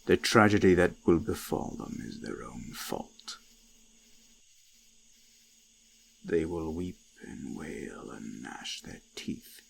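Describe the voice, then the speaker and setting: An ancient male god of the forest, looms in a low, slow voice over faint background ambience. He is menacing and seductive, commanding in archaic phrases and often sinking into gravelly whispers, as in a folk horror audio drama. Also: slight background noise, heavily acted. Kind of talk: scripted roleplay audio, slow dramatic monologue.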